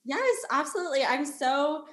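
A woman's voice: sits high, lively intonation, moderate pace. A young woman co-hosting a podcast, upbeat and enthusiastic as she introduces and interviews a guest. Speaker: podcast co-host. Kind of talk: casual interview chat.

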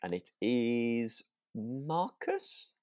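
A man's voice: medium pitch, sing-song, very slow, a little mellow, no room sound, studio quality. A man, chatting informally as a podcast co-host and often checking for agreement. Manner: informal, tag questions seeking agreement. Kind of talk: casual chat about a radio drama.

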